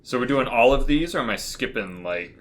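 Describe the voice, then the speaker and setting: A man's voice: mid-range voice, expressive, brisk. A man co-hosting a podcast, telling anecdotes in casual, amused, jokey conversation. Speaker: podcast co-host.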